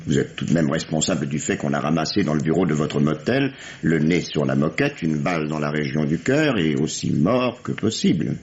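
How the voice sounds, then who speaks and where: A harried, gruff police lieutenant in a 1950s radio drama. He is curt and sardonic, with a low voice that rises and falls sharply. The recording is dull and vintage. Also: lively intonation, moderate pace, few pauses, darkish tone. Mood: dry, accusing. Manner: curt, sardonic, hesitant when flustered.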